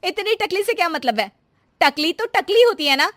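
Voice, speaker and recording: A woman voicing a fretful, sharp-tongued middle-aged housewife in an animated story, complaining dramatically. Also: very high voice, moderate pace, dry recording, clean studio sound, voice pushed up. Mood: indignant.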